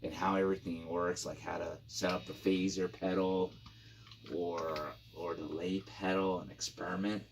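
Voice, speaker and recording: A man's voice: low voice, level tone, normal speed, vocal fry, slight background noise, poor audio. A man reviewing guitar gear in a casual, informal and rambling product demo style with some enthusiasm.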